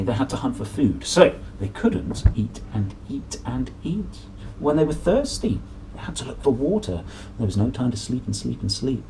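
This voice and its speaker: Lively, playful man reading a story. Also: mid-range voice, a little hiss or hum, rough recording. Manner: playful, dramatic, drawn-out emphasis. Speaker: presenter reading picture books aloud. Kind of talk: animated children's story reading. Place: small room at home, at a desk.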